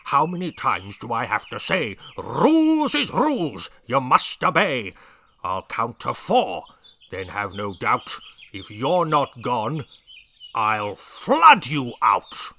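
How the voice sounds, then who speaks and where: A man's voice: medium pitch, huge pitch swings, takes it slowly, pushed and bright, heavily acted. A man voicing a blustering, hot-tempered old male dinosaur brigadier who bellows and roars his orders. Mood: bellowing angry. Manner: bellowing, roaring.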